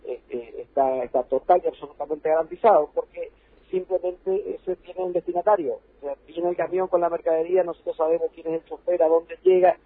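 A man's voice: fairly high pitch, fairly even intonation, fairly quick, breathy edge. An Argentine man, a provincial health official, explaining rules insistently in a radio interview by phone, repeating points for emphasis. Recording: dry, tinny phone line.

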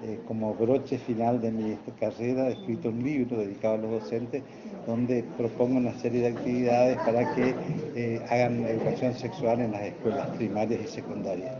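An older man with a northeastern Argentine accent answers interview questions as a retired professor, in an earnest, explanatory and halting manner. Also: medium pitch, level tone. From Puerto Iguazú, Misiones, Argentina. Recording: dull lo-fi, loud crowd chatter.

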